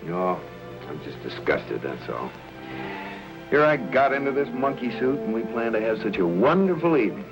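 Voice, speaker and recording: An actor playing an exasperated, grumbling but mild husband in an old-time radio mystery. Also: male voice, medium pitch, sing-song, normal speed, over music, rough recording. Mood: weary, grumbling. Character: exasperated, grumpy husband in a tuxedo, mild-tempered.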